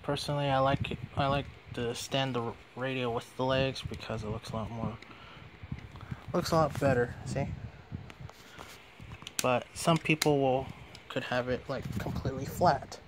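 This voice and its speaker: A man's voice: mid-range voice, fairly even intonation, normal speed, pauses often, background noise. A man giving a casual hands-on product demonstration as a reviewer.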